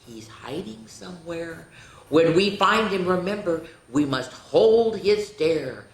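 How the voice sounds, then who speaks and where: A woman's voice: fairly low pitch, expressive, slow. Woman as an urgent storybook girl, echoey. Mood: urgent warning. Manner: hushed, urgent storybook delivery. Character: earnest, bossy young girl hunting a leprechaun in a storybook. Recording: echoey, roomy sound.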